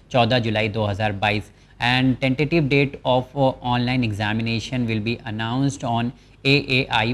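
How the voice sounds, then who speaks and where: A man hosting a channel, walking viewers through a government job notice. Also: mid-range voice. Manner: explanatory, reads English terms from the notice slowly. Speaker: channel host presenting job vacancies. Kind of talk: informational walkthrough of a job notice.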